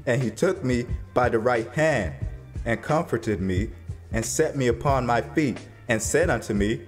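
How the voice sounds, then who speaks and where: A man's voice: mid-range voice, a little slow, soft background music, lightly acted. A man playing a grieving, fearful prophet in a dramatized scripture reading, with solemn, pleading, archaic delivery. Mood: awed, fearful. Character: grieving, fearful prophet recounting his troubled vision.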